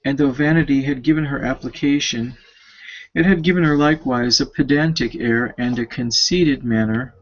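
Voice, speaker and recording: A man reading a classic novel aloud as an amateur, with a creaky voice and a halting delivery that stumbles over words. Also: mid-range voice. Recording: dull lo-fi home recording.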